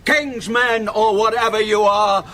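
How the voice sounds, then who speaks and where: A man's voice: high-pitched, steady tone, measured pace, bright, forceful tone. A man playing a cruel, contemptuous outlaw lord in a radio drama, sneering, commanding and threatening. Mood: shouted threat.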